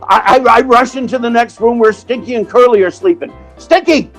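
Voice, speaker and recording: A man playing an excitable, money-minded theatrical agent in an old-time radio drama, animated and stammering when flustered. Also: very high-pitched, fairly quick, faint music behind. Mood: excited. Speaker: narrator and lead character.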